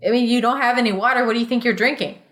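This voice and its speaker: A woman's voice: a little high, fairly even intonation, very fast, a bit bright. A woman reading a story aloud in a casual, comedic way, giggly and irreverent, with sweary banter between passages.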